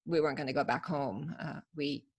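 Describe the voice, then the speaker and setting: A woman's voice: low-pitched, fairly quick, husky. A woman in her fifties from Iran, a podcast guest telling her story in a reflective, casual way with frequent fillers. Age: 50s. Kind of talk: casual interview chat.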